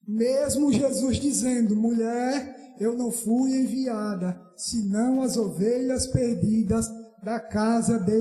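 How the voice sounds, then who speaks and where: A man's voice: extremely high pitch, dark tone. A Brazilian man preaching an impassioned evangelistic sermon, emphatic and given to repeating phrases and exclaiming praise. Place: church hall during evening service. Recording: echoey church hall PA, faint music.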